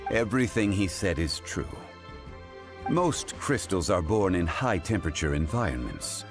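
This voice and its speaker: A dignified gentleman consultant in a video game. His voice is low and moves with expressive inflection. He is formal, measured and courteous, a calm man of learning. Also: music in the background. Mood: assured, analytical.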